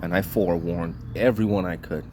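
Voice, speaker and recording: A man's voice: sits low, fairly even intonation, brisk, noisy background. A man from Trinidad and Tobago delivering a blunt, indignant vlog rant in short clipped sentences, swearing freely. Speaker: vlogger. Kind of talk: ranting monologue.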